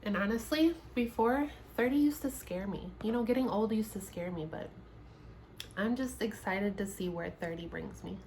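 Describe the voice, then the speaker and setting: A woman's voice: mid-range voice, moderate pace, a little mellow, a little hiss or hum, rough recording. A woman in her late twenties chatting casually in a sit-down get-to-know-me vlog, laughing often and restarting her sentences. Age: 20s. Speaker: YouTuber. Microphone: camera mic, seated at home.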